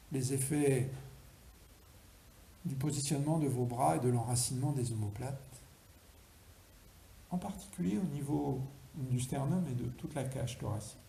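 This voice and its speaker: Very mellow male yoga nidra guide. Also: medium pitch, gravelly. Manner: slow, deliberate, instructing with pauses. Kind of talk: guided relaxation meditation. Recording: roomy echo, faint background noise.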